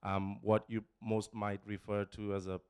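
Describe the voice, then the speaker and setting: A man in his forties from Kenya shares his testimony with a congregation. His voice sits very low and sounds smooth and resonant. It is close and dry on the microphone, broken by pauses and restarts. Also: little pitch movement, darkish tone, no echo. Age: 40s. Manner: halting, many fillers and restarts, emotional. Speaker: church member giving testimony. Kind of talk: personal testimony. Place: church. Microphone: handheld microphone.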